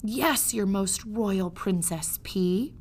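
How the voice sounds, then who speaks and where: A woman reading a storybook as a suave male rat who flatters with formal, flowery politeness. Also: medium pitch, takes it slowly. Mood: scheming, flattering.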